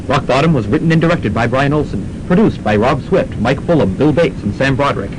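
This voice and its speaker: Casting: man for mock-serious radio drama narrator, medium pitch, noisy amateur recording. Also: expressive, brisk, lightly acted. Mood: mock-dramatic.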